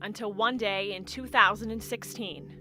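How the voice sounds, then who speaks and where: An American woman narrating a scripted TV news feature as a reporter, with measured storytelling phrasing. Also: mid-range voice, fairly even intonation, pushed and bright, faint music behind.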